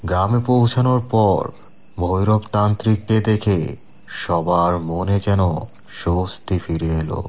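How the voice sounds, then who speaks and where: A man's voice: low voice, steady tone, unhurried, background noise. A man narrating a scripted horror story, delivering it dramatically in short, broken phrases.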